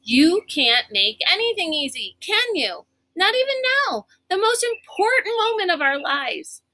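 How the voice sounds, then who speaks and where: A woman's voice: very high-pitched, sing-song, a little slow, sharp tone, no room sound, voice pushed up, full character voice. A woman voicing a bossy, athletic young girl in a storybook, breathless, urgent and exasperated.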